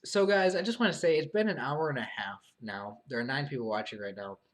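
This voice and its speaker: Young man, live streamer, mid-range voice, subdued and hesitant, full of fillers, voice getting tired. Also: mid-range voice. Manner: hesitant, halting, many fillers and restarts; soft, subdued, earnest. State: losing his voice after long talking. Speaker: live streamer answering viewer questions. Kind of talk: casual live stream monologue.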